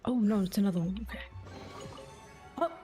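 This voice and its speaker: A woman's voice: mid-range voice, lively intonation, fairly quick, warm tone. A young adult woman giving casual gameplay commentary as a streamer, chatty and thinking aloud. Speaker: game streamer. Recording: faint game music behind the voice.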